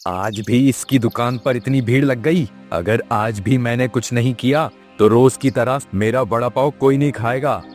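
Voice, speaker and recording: A man's voice: mid-range voice, normal speed, few pauses, darkish tone, close to an everyday voice. A man playing a jealous, villainous village food vendor who plots aloud in a Hindi moral-story cartoon. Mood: bitter, scheming. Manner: villainous, muttering his plots to himself. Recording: music behind.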